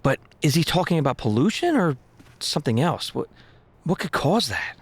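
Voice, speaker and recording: Husky, animated anxious everyman. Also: a little high, moderate pace, full character voice. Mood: incredulous. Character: anxious, decent man uncovering a small-town conspiracy. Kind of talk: naturalistic audio drama acting.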